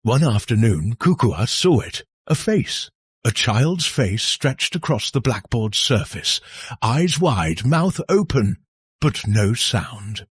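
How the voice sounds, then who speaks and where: A man narrating a scary folktale as a storyteller, in a suspenseful, theatrical way with dramatic pauses. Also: medium pitch, very animated, breathy edge, no echo, clean studio sound.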